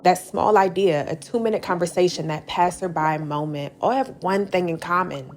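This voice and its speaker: A woman reading a scripted, inspirational show introduction in a measured way. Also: on the low side, a little hiss or hum. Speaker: show narrator. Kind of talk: scripted narration.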